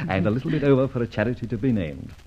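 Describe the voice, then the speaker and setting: Suave, theatrical gentleman thief, lively delivery. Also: mid-range voice, a little fast, slightly rough. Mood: sly, suave. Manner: theatrical, urbane, chuckling, florid phrasing. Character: suave, amused gentleman thief adventurer, self-satisfied and flippant. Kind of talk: 1950s-60s radio serial acting. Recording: dull vintage radio recording, light noise.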